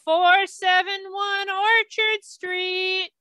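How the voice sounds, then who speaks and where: A woman playing an impersonal hospital dispatcher in a radio drama, announcing in a droning, drawn-out way. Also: extremely high pitch, flat delivery, pushed and bright, no echo, clean studio sound, heavily acted. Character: impersonal female hospital dispatcher calling out addresses. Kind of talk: old-time radio drama acting.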